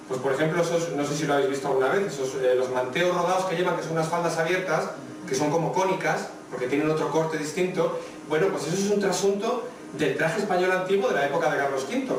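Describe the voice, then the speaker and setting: A man with a Madrid accent giving a lecture with slides, explaining things directly to the audience. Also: a little high. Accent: Madrid Spanish. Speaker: guest lecturer on traditional dress. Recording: echoey hall, distant mic, faint noise.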